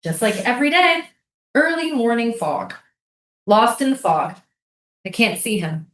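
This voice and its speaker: A woman's voice: on the high side, big pitch swings, a little slow, far from the mic, slight background noise. A woman in a staged play reading, playing an earnest, persistent writer questioning a military prison. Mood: searching. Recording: roomy, echoing live staged reading.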